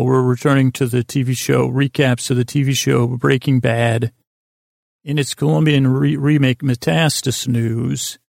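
An American man hosting a podcast in a rambling, halting bedtime monologue, meandering through tangents and repeating himself in a creaky voice. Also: medium pitch, darkish tone, no room sound, clean studio sound. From United States. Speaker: podcast host.

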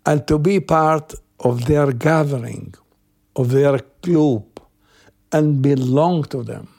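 Elderly Iraqi man, gravelly and slow. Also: on the high side, a little mellow. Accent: Iraqi Arabic. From Iraq. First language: Arabic. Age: 80s. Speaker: interviewee recalling his youth. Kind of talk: personal oral storytelling.

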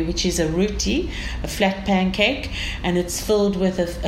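A woman from South Africa with a South African accent, describing her city as an interview guest in an enthusiastic, storytelling way. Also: lowish voice. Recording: echoey room with faint ambient noise.

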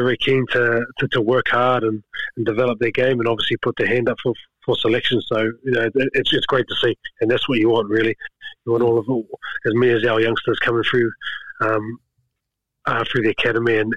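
A man's voice: medium pitch, fast talker, no room sound. Easygoing New man, dull lo-fi sound. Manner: relaxed, repeats words while searching for thoughts. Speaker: guest, rugby skills coach. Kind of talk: casual interview chat.

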